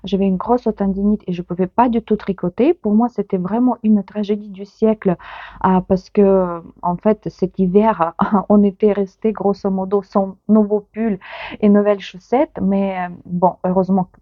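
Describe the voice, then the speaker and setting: Ukrainian woman in her 30s, heavy accent, lots of pauses and restarts, dry muffled sound. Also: medium pitch, fairly even intonation, dry recording. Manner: hesitant, many pauses and restarts. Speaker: knitting vlogger. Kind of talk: casual chat to camera.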